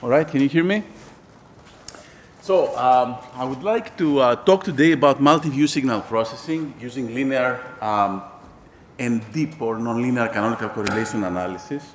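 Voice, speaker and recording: Man, invited professor, academic lecture, measured and explanatory, roomy hall. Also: mid-range voice, a little hiss or hum. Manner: measured, explanatory, with frequent fillers. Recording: echoey hall with faint ambience.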